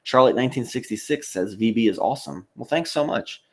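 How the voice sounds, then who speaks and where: A man hosting a casual livestream, reading out chat comments and replying in a rambling, halting way. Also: medium pitch. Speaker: live stream host.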